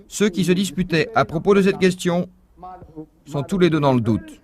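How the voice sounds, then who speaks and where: A man giving a measured, didactic religious lecture as a voice-over, posing rhetorical questions to the audience. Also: high-pitched, darkish tone. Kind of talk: dubbed lecture, explaining a point.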